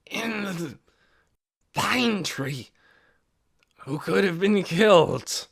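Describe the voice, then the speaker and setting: A man voicing a weak, injured old man who rasps out halting, broken phrases and fades to a whisper, in an audiobook. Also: sits high, animated, fairly bright tone, voice pushed up, heavily acted. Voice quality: raspy, fading to a whisper.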